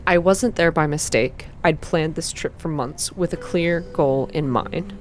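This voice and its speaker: A woman reading a visual novel aloud as measured, unhurried ASMR narration. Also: sits low, slight background noise. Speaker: ASMR reader and game player. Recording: music behind the voice. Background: background music.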